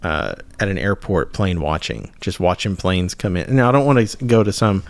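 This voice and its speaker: Man, low voice, dry sound, easygoing, halting casual talk. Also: expressive, no echo. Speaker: podcast co-host. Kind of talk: casual porch chat.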